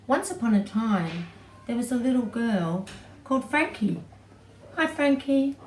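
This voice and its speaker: A woman's voice: a little high, expressive, measured pace, a little mellow. Female children's storyteller, very varied intonation, roomy echo. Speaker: early childhood educator telling a children's story. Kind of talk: animated storytelling for young children. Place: small indoor playroom with bookshelves, seated on floor. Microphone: camera several feet away. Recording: distant mic, roomy echo, background noise.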